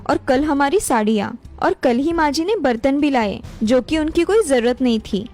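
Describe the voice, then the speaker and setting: A woman's voice: sits high, animated, normal speed, darkish tone, faint music behind. A woman voicing a deferential, worried younger daughter-in-law in a cartoon story.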